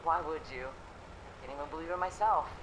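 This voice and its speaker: A woman's voice: sits low, moderate pace, background noise, natural voice. A woman playing a shaken, apologetic woman on the run in an audio drama. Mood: distressed.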